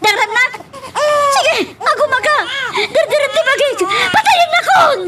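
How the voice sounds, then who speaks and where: Young mother, plaintive and defiant, very high-pitched female actor, Ilocano melodrama, clear background noise. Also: lively intonation, moderate pace. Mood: furious, crying. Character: hurt young mother fleeing with her baby, bitter and defiant. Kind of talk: Ilocano radio-style melodrama acting.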